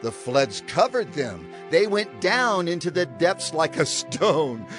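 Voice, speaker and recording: An actor playing an exultant worshipper, fervently declaiming praise in a dramatized scripture recitation. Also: male voice, on the high side, big pitch swings, takes it slowly, faint music behind.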